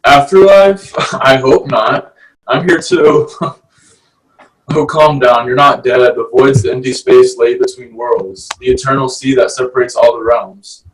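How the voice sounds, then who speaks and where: A man's voice: medium pitch, unhurried, recorded from a distance, lightly acted. A man playing a laid-back, sarcastic sixteen-year-old merman in a casual, teasing way at a screenplay table read. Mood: amused, casual. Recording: echoey, off-mic, faint noise.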